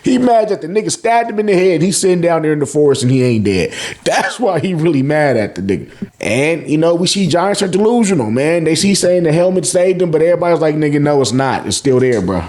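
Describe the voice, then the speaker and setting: A man with a fairly high voice hosts an animated, casual story recap. He talks in loose, profane slang and acts out reactions as he retells things. Words often come out in repeated bursts before the sentence moves on. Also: brisk. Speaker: host of a manga review channel.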